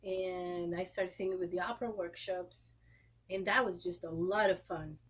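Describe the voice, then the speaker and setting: A woman in her thirties from Costa Rica, speaking English with a Costa Rican Spanish accent, explaining things in an interview and clearing her throat often. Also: lowish voice, steady tone, normal speed, slight background noise. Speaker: guest, opera singer and educator. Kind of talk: interview answers.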